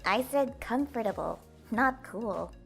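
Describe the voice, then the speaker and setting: A woman playing a modest, gentle and slightly defensive young woman, hesitant and earnest, in an audio drama. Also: fairly high pitch, animated, slow pace, vocal fry, soft background music, exaggerated voice. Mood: dry, casual.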